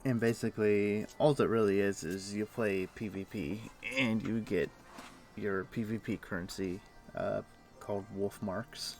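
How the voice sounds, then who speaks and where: A man's voice: lowish voice, steady tone, unhurried, soft background music. A man streaming a game, chatting casually and explaining things in a loose, rambling way full of fillers. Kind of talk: casual chat while playing.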